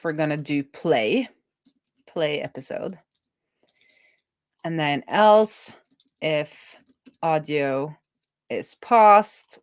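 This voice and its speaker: A woman with a slightly low voice is live-streaming as a developer. She talks casually and thinks aloud, chatting to herself and to viewers as she works.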